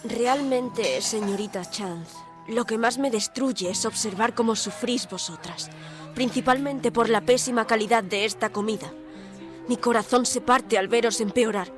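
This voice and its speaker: Audio drama role: indignant young woman reporter played by an actress, highish voice, dramatic and articulate, music behind. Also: measured pace, lightly acted. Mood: anguished. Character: defiant young woman reporter posing as an asylum inmate. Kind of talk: audio drama narration and dialogue. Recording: music under the voice.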